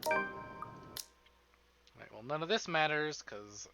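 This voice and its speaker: A man chats casually on a game livestream in a lively, swooping voice. He is expressive and swears freely, often talking to himself as he plays. The sound is slightly dull and lo-fi, with game music clearly behind him. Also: fairly high pitch, slow. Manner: expressive, profane, talks to himself. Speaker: streamer playing a visual novel. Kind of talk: casual livestream commentary with dramatic read-aloud of game text.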